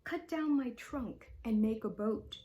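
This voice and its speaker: A woman voicing a gentle, loving old female tree in a storybook, speaking softly and slowly with sighs and whispers. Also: on the high side. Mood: giving. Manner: soft, slow, sighing, whispery.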